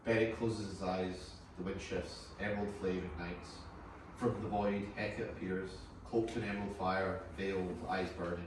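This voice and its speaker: Male narrator for a table read: very low voice, scripted stage directions, heavy echo and background noise. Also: little pitch movement, moderate pace. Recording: very echoey room, far mic, clear noise.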